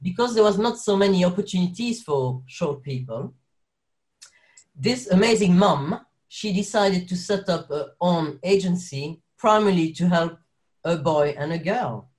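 A man's voice: high voice, expressive, a little slow, a little mellow, low-quality recording. French-accented man, chatty and laughing. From Belgium. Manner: rambling, laughs often, many restarts. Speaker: guest actor. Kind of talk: casual interview chat.

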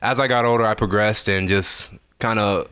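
Relaxed young man in his twenties. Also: on the low side, moderate pace, smooth, dry recording, studio quality. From San Diego, California. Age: 20s. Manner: relaxed, thoughtful, hedging. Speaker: guest musician. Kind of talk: interview answers.

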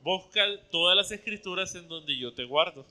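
A man interpreting a sermon phrase by phrase into Spanish, following each line closely and sometimes pausing with fillers. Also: high-pitched, lively intonation, pushed and bright.

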